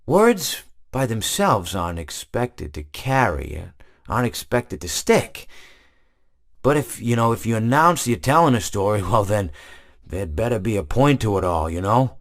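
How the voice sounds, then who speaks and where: A rambling old hippo. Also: male voice, on the low side, big pitch swings, measured pace. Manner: meandering, wistful rambling with stammered restarts. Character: rambling old male hippopotamus animatronic, gentle and absent-minded. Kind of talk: video game voice lines.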